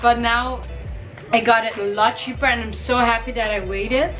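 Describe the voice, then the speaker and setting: A woman's voice: a little high, fairly even intonation, moderate pace, a bit bright, faint music behind. Chatty young woman with a cold. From Caribbean. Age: young adult. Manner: chatty and upbeat, gushing. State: has a cold, voice may crack. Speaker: fashion vlogger. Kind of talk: casual shopping haul vlog. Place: bedroom, indoors.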